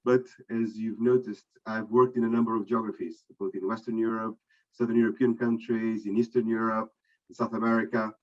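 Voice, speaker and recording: A middle-aged man from Portugal, a panel guest speaking in a measured, reflective way and setting out his points one by one. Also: on the low side, little pitch movement, dull audio. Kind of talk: conference panel answer.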